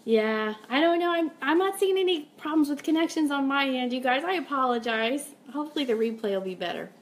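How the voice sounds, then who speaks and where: A woman's voice: high voice, lively intonation, brisk. A woman hosting a casual live stream, chatting informally and upbeat as she reads out comments and announcements. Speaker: host of a fan radio live stream.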